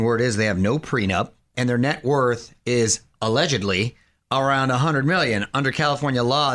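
A man's voice: medium pitch, a bit bright. A man hosting a radio show, chatting casually with a guest and reading entertainment news in an upbeat way. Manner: upbeat, chatty, quick asides.

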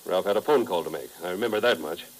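An actor playing a hungover, irritable American playboy who talks in weary casual slang in a 1950s radio drama. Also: male voice, deep voice, fast talker. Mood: weary, candid.

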